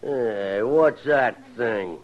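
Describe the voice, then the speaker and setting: An actor playing a bullying saloon gambler with an American western drawl, brusque and needling in an old radio drama. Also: male voice, medium pitch, drawn-out words, a little hiss or hum. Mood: gruff. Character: gruff, bullying saloon card dealer. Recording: muffled vintage radio recording.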